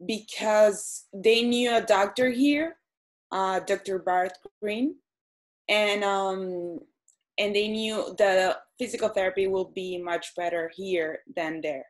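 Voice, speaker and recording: A woman, answering interview questions hesitantly with many pauses and ums. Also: medium pitch. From Venezuela. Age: 30s. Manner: hesitant, frequent ums and restarts. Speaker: guest.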